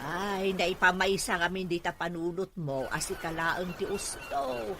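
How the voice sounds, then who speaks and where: A woman's voice: fairly low pitch, measured pace, a bit bright, slight background noise. A woman playing a protective, outspoken older mother in an Ilocano audio drama. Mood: reproachful. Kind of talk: Ilocano radio-style drama acting.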